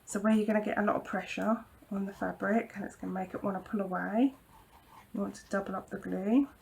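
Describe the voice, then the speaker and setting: A woman demonstrating a craft project at her home craft table, croaky from hay fever, talking in a chatty, reassuring way with frequent ums and apologies. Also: medium pitch, steady tone, quick pace, darkish tone, slight background noise. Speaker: craft tutorial host. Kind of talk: casual hands-on craft demonstration.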